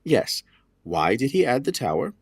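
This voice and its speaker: An actor playing a smooth, condescending estate lawyer in an audio drama, with precise, formal, patronizing speech. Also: male voice, mid-range voice, big pitch swings, normal speed, no room sound, studio quality, heavily acted. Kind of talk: audio drama acting, period dialogue.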